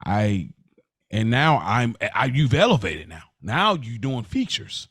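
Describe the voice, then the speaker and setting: A man's voice: medium pitch, sing-song, takes it slowly, a bit bright, slightly rough, no room sound, studio quality. A man co-hosting a podcast, casually pressing his point with questions and repeated phrases in informal language. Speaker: podcast host.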